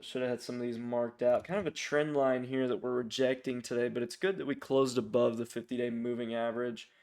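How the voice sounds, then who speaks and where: A man hosting a casual, unscripted stock watchlist, raspy from strep throat, informal and hesitant as he thinks aloud. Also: mid-range voice, level tone, brisk, darkish tone. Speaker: stock trading channel host.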